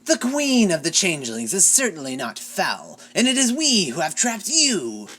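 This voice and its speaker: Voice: mid-range voice, huge pitch swings, moderate pace, fairly bright tone, voice pushed up. A reader voicing a vain villainous queen who is haughty and petulant.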